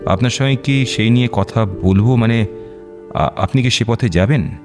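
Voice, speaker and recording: A man acting in a radio drama as a reassuring doctor who explains things deliberately, with measured pauses. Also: medium pitch, music in the background, natural voice. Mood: cautious. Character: measured, reassuring male doctor counselling a client.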